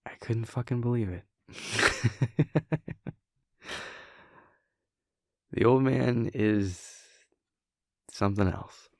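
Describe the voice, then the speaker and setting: A man playing an affectionate, playful vampire prince boyfriend in an intimate roleplay audio, speaking in a relaxed, conversational drawl with a low, creaky voice. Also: no echo, clean studio sound. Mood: amused. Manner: halting, chuckling, relaxed drawl.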